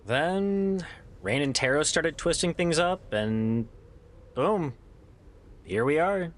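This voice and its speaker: A man's voice: a little high, animated, takes it slowly. A man playing a weary, loyal adventurer in an audio drama, talking in a casual, sardonic way. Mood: weary, wry. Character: wry, weary male adventurer, loyal old friend. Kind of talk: naturalistic audio drama acting.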